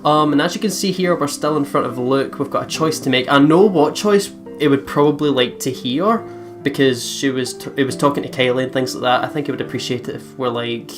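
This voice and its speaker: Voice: mid-range voice, brisk, music in the background. A Scottish let's player chatting casually and agreeably while playing a visual novel as co-host. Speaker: co-host of a gaming let's play.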